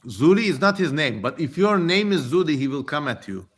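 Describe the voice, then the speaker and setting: A man's voice: on the high side, sing-song, a little fast, a bit bright, husky. A man hosting a casual livestream, reading aloud and commenting in a wry, playfully theatrical way. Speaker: livestream host.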